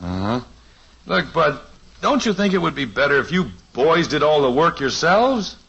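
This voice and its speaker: An actor playing a patient, wry middle-aged father in a 1950s radio sitcom, with a husky, dry, teasing delivery. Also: on the high side, very animated, moderate pace, fairly bright tone, full character voice. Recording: dull lo-fi old radio transcription.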